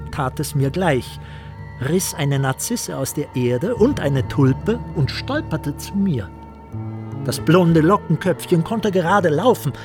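Animated, high-voiced storyteller. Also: male voice. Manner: courtly, ornate, teasingly drawn out. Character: eager, polite male storyteller savoring a boyhood village tale. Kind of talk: theatrical radio-play storytelling.

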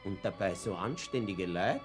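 Banger österreichischer Familienvater im Hörspiel, lebhafte Stimme. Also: male voice, deep voice, animated, moderate pace, soft background music. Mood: shocked, remorseful. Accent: Austrian. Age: middle-aged. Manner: hesitant, colloquial, agitated. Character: anxious, guilt-ridden father and reluctant Nazi party member. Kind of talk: naturalistic radio drama acting.

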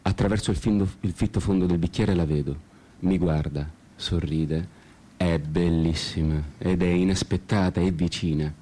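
An actor playing an ironic, lovestruck storyteller in a literary radio play, speaking in deliberate, measured phrases. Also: male voice, deep voice, fairly even intonation, dark tone. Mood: wistful, ironic. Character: ironic, disenchanted male storyteller, lovestruck drinker in Prague. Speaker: actor and narrator. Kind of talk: literary radio theatre monologue with sung passages. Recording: guitar music behind the voice.

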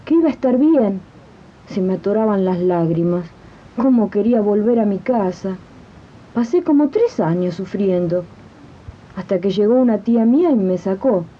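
A woman's voice: mid-range voice, close to an everyday voice. Woman playing a wronged young housemaid, muffled old tape. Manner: pleading, deferential. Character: mistreated young country housemaid, pleading and wronged. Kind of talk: 1980s community radio drama acting. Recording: dull, lo-fi archival radio tape.

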